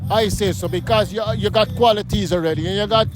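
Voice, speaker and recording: A man's voice: high voice, brisk, music in the background. A middle-aged Guyanese man being interviewed on a city street, talking emphatically and often asking "you know what I mean?". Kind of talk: street interview, casual talk.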